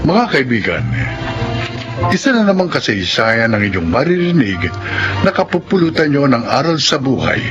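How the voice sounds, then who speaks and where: Animated male drama host over loud music. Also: mid-range voice, dull audio. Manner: solemn, deliberate. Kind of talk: dramatic scripted narration with moral lessons.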